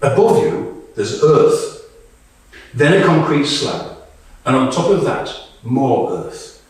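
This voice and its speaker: A man performs a weary, blunt woman soldier in a stage monologue. The delivery is unhurried, wry and conversational, with some swearing. It is heard from a distance in a very echoey room. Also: mid-range voice, big pitch swings. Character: Tonya, weary, blunt female Ukrainian soldier. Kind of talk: dramatic monologue read to a live audience.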